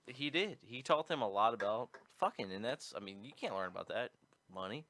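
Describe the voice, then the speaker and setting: A man co-hosting a crude casual talk show, blunt and quick to joke. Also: on the low side, lively intonation. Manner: blunt, laughs loudly. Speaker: podcast co-host.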